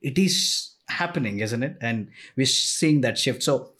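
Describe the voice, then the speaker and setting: A middle-aged man co-hosting an interview, explaining points in a measured, conversational way. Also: mid-range voice, animated, a bit bright. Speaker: co-host, sales leader.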